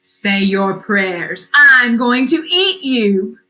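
A woman doing the Chupacabra, a slow menacing monster. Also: fairly high pitch, lively intonation, pushed and bright, full character voice, low-quality recording. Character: menacing goat-sucking male monster under a bridge.

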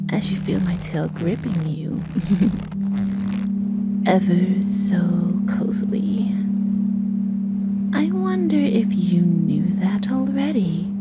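A woman voicing a possessive, playfully menacing female snake monster in an ASMR roleplay, breathy and often slipping into a whisper. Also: mid-range voice. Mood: sly, coaxing. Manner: slow, teasing, giggling menace. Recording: music under the voice. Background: music.

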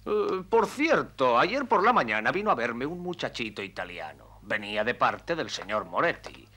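A man's voice: mid-range voice, sing-song, moderate pace, sharp tone, a little hiss or hum. An actor in a Spanish cartoon dub playing a sly, suspicious man who hands out mine work, needling and mocking.

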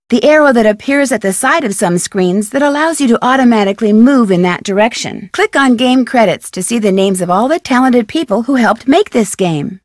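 A woman voicing a plucky, polite young amateur detective in a video game, with an American accent and clear, articulate delivery. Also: medium pitch, no echo, studio quality, lightly acted. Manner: clear, articulate, friendly direct address. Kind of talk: scripted video game dialogue and tutorial narration.

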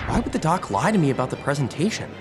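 An actor playing an earnest, anxious, quippy young superhero student in an audio drama. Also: male voice, fairly high pitch, sing-song, quick pace, loud music behind the voice, a loud crowd. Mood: worried, urgent. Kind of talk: audio drama acting with inner monologue.

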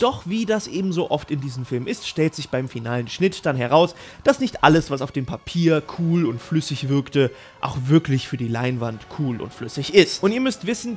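A man with a high-pitched voice hosts a scripted video commentary on film. He addresses viewers directly and leans on his words with emphasis. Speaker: YouTube host on film news.

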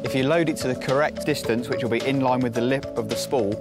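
A young man giving a clear step-by-step fishing tip on a grassy lake bank outdoors. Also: mid-range voice, steady tone, fast. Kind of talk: instructional tip. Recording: loud background music.

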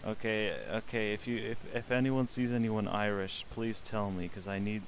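A man's voice: on the low side, little pitch movement, normal speed, slight background noise, low-quality recording. A young man streaming a social VR game, chatting casually and politely pestering the strangers he meets. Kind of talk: casual gaming commentary.

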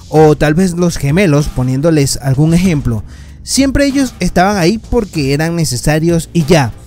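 A man's voice: high-pitched, a little mellow. A man hosting his channel, giving a casual spoken film review as a voice-over. He talks earnestly and addresses his viewers as friends.

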